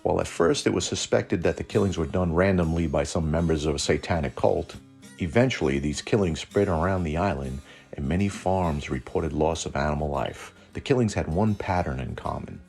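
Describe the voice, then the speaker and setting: A man's voice: extremely low pitch, a little fast, gravelly, a little mellow, soft background music. A man narrating a scripted lore show as host, with dry joking asides.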